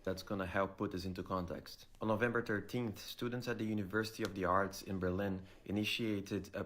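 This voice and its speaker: A man's voice: deep voice, fairly bright tone. A man narrating a scripted commentary video in a sardonic, explanatory way.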